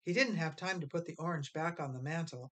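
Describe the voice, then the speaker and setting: A woman narrates a children's story in a lowish voice. Her scripted reading is expressive and dramatic, lingering on important phrases for emphasis. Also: fairly quick. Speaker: storybook reader.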